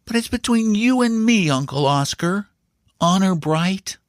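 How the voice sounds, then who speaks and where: A man's voice: high voice, animated, a little slow, no room sound, studio quality, pitched above the performer's own voice. A man voicing a grave, earnest young boy who shares secrets in a storybook. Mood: grave, confiding. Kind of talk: storybook character dialogue.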